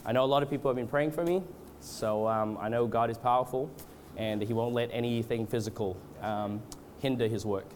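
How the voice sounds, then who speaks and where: A man's voice: medium pitch, fairly even intonation, a little mellow, a little hiss or hum. Hesitant male preacher with a cold. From born in Australia, moved to Canada. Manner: hesitant, casual, halting. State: has a cold. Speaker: guest preacher at a church revival gathering. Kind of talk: informal sermon with congregational prayer.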